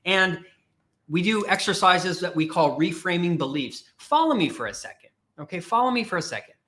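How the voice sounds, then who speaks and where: Emphatic, bright-voiced male career coach. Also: high-pitched, expressive, normal speed. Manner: emphatic and repetitive, challenging the listener directly. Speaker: podcast host and career coach. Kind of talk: motivational livestream talk.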